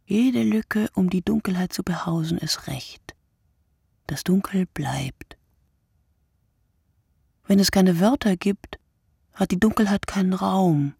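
A woman playing a brooding, solitary writer in a radio play monologue, speaking deliberately and poetically, often dropping to a breathy hush. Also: mid-range voice, no echo, clean studio sound, exaggerated voice. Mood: intimate, poetic. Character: wakeful solitary night writer, a woman, brooding and word-obsessed.

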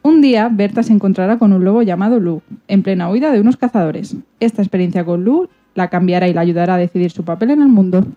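A woman reading a scripted summary as a radio co-host, in dull low-fidelity sound. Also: expressive, fast, dark tone. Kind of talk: scripted narration, plot summary.

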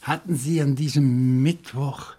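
An actor playing a calm, probing questioner in a German radio crime drama, speaking deliberately and insistently. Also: male voice, mid-range voice, natural voice. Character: calm, probing man questioning a suspect, Monsieur Fougère.

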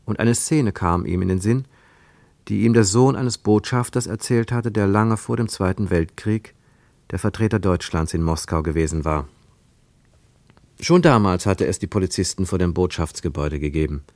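A man narrating a literary radio play in a reflective, rhetorical manner with deliberate emphasis. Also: low voice, a little mellow, no room sound. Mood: pensive. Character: reflective literary narrator of a postwar travel story.

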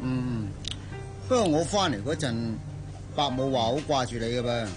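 A male actor playing the groom's smooth-talking old friend in a Cantonese radio drama, teasing and insinuating in a Hong Kong accent. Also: mid-range voice, lively intonation, normal speed, close to an everyday voice, low-quality recording. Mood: curious. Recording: music behind the voice.

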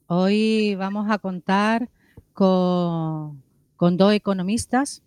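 A man's voice: sits high, fairly even intonation, drawn-out words, a little mellow, clean tone, no room sound. A man co-hosting a radio programme, introducing segments and putting questions to guests. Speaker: radio co-host.

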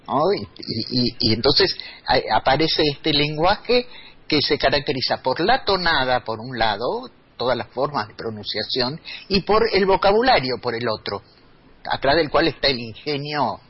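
A man's voice: medium pitch, very animated, moderate pace, breathy edge. A man, speaking as a radio guest and explaining in a lecturing way with many restarts. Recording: dull lo-fi radio recording.

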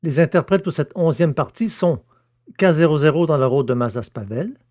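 A man's voice: fairly high pitch, brisk, darkish tone, dry recording. Dry-sounding male audio drama narrator.

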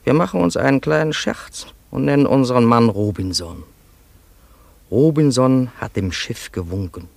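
A man's voice: mid-range voice, animated, moderate pace, vocal fry, a little mellow, no echo. An actor playing a musing, imperious man in a German radio play, dictating stories to his assistant in a theatrical, bossy, ruminative way. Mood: musing, commanding.

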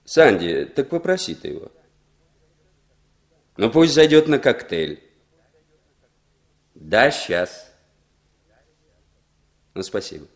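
A smooth scheming foreigner, animated lilting delivery, radio play. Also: male voice, medium pitch, slow pace, long pauses. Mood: insinuating. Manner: smooth, insinuating. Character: scheming foreign man setting up a deception. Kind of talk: 1960s radio drama acting.

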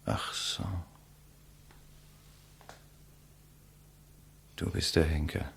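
An actor playing a condemned writer, composed and bitterly ironic, in a measured, eloquent 1950s German radio play style. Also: male voice, rumbling low, fairly even intonation, vocal fry, exaggerated voice. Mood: bitter irony.

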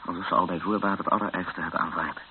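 An actor playing a weary, frightened captive who narrates a radio drama in brooding short sentences. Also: male voice, deep voice, fairly even intonation, fast talker, close to an everyday voice. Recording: muffled, noisy old radio recording.

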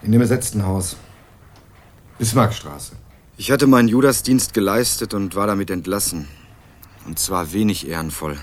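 A man's voice: lowish voice, normal speed, pauses often, natural voice. A young actor playing a guarded male student who answers a police detective in a radio crime drama, curt and wary. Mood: brooding. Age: young adult.

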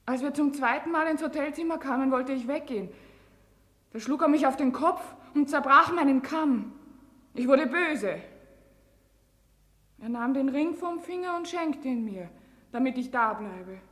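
A woman's voice: sits high, animated, unhurried, pauses often, a bit bright. An actress playing a wronged young woman on trial, simple and aggrieved, in a 1960s German radio play. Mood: defensive, upset.

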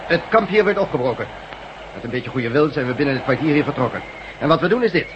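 Brisk, commanding camp chief, acted by a man. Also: a little high, expressive, close to an everyday voice. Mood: brisk, grim. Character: gruff, domineering male camp chief barking orders. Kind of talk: Dutch radio drama acting. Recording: dull, very noisy old radio recording.

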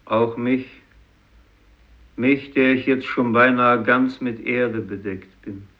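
Male actor as doomed fisherman, grave, very slow measured delivery, dull lo-fi radio drama recording. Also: medium pitch, fairly even intonation, close to an everyday voice. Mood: bitter. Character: grave Japanese fisherman, narrating his own burial, doomed. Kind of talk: 1950s literary radio play monologue.

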